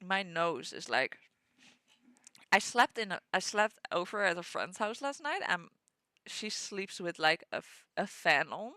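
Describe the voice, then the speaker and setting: A woman's voice: fairly low pitch, expressive, unhurried, pushed and bright, no echo. A young woman with a stuffy nose from a cold, playing a game and chatting in rambling, casual asides. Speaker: let's player. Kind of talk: reading game dialogue aloud, casual asides.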